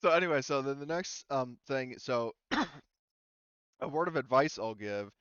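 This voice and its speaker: A man's voice: mid-range voice, lively intonation, moderate pace, fairly bright tone, clear, resonant tone, no echo, lo-fi sound. A man talking casually and easygoing as game master in a group tabletop role-playing discussion. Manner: easygoing, laughs readily.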